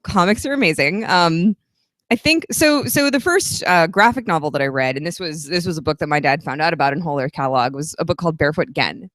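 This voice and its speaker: A woman's voice: deep voice, animated, fast, a bit bright, no room sound, clean studio sound. A woman in her thirties, a guest author at a live event, giving long, chatty, earnest answers. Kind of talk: casual interview at a live event.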